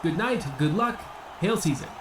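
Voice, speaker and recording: A pompous, Rome-obsessed boxing announcer played by a man, high-voiced and wildly animated. The commentary is grandiose old radio comedy, with faint noise behind it. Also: moderate pace. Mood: excited, grandiose.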